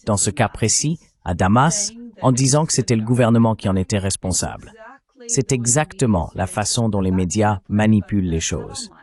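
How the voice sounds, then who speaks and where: Indignant man, low voice, dubbed. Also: darkish tone. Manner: indignant, recounting at length. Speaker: interview guest, journalist. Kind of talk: dubbed interview speech.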